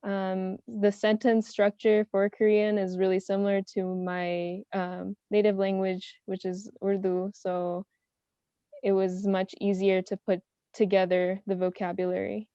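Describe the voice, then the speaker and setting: A soft-spoken young woman talks casually as a panelist, her voice mellow and smooth. Her tone stays flat and even, and the dry call audio sounds slightly dull. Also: medium pitch, unhurried, no room sound. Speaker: panelist, self-taught language learner. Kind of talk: casual online round-table chat.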